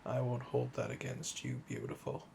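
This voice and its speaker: A man playing a calm, flirtatious, obsessive assassin under interrogation in an intimate ASMR roleplay, teasing and smug in a deliberate way. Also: medium pitch, creaky, slight background noise, low-quality recording. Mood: admiring, seductive.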